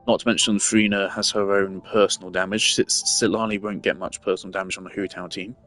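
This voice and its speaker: A man's voice: deep voice, little pitch movement, fast, a bit bright, faint music behind. A man giving casual, analytical gaming commentary, thinking aloud in a rambling way as a streamer.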